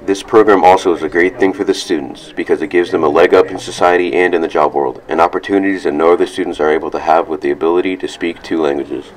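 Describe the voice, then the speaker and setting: A teenage student reporter reading a scripted news segment. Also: male voice, deep voice, steady tone, low-quality recording. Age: teens. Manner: reads quickly from a script.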